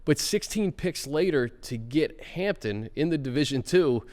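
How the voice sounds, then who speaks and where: Smooth male host in a reverberant room. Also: on the high side, expressive, unhurried, a little mellow. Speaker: show host. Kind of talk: casual sports chat.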